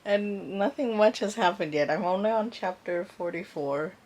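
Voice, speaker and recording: A woman's voice: medium pitch, normal speed, darkish tone. A woman talking informally in a reading vlog, thinking aloud and reacting as she goes. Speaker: book vlogger.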